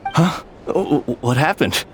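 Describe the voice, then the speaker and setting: A man's voice: fairly high pitch, animated, a little slow, faint music behind. An actor playing a clueless townsman who stammers and fumbles for words. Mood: baffled. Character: oblivious, stammering townsman, cynical about deals. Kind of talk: game cutscene voice acting.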